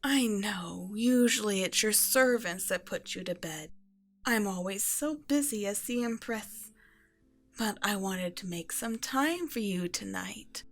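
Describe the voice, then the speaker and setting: A woman playing a regal evil empress and doting mother in an ASMR bedtime roleplay, speaking in a measured, tender, condescending way to a child. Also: medium pitch, animated. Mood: tender, gentle. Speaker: audio roleplay performer. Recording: music behind the voice.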